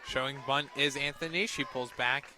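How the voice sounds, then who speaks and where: A man doing live radio play-by-play of a softball game in short, present-tense calls that lift on the plays. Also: medium pitch, fairly even intonation, moderate pace, crowd noise.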